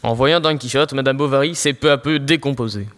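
A man's voice: medium pitch, brisk, a bit bright, lightly acted. A teenage boy playing a wry, mock-solemn narrator of a comic audio story.

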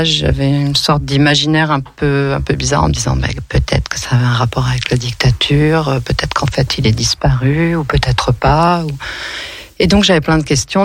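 A woman's voice: deep voice, gravelly, husky. A middle-aged woman poet, a radio guest, telling her own story thoughtfully with frequent fillers. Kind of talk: casual radio conversation.

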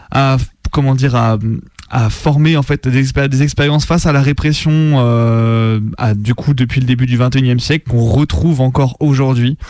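A man co-hosting a casual live radio show, speaking haltingly as he searches for his words. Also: medium pitch, darkish tone, no room sound. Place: radio studio.

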